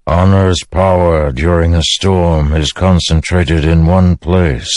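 A male narrator performs an ancient storm spirit from a fantasy audiobook. The voice is deep and very slow, grave and formal, with cryptic weight on every phrase. The sound is close and dry. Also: steady tone, a little mellow, no room sound. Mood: solemn, grave.

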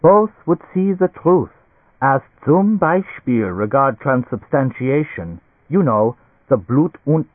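A man voicing an excitable, fussily reassuring German doctor in an audiobook story, effusive, with broken English sprinkled with German words. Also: mid-range voice, slow, dry recording, pitched above the performer's own voice. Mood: beaming, enthusiastic. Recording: tinny band-limited radio-like sound.

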